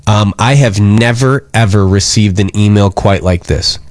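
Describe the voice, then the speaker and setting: A man's voice: fairly low pitch, a little slow, a little mellow, dry recording, studio quality. An American man hosting a live radio show, talking casually and earnestly in a rambling, concerned way.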